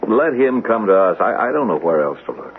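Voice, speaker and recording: An actor playing a weary, frustrated husband in an old-fashioned radio drama. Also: male voice, on the low side, sing-song, a little fast. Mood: weary, exasperated. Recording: tinny, noisy old radio broadcast.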